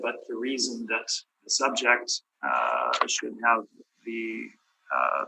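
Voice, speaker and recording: A man with a hoarse, fading voice walks through figures at a municipal hearing. His tone is very bright and cutting, and he clears his throat often. Behind him is a faint hiss. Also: medium pitch, rough recording. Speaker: appellant's representative at a property tax hearing. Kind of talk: formal presentation with many fillers. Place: municipal committee room.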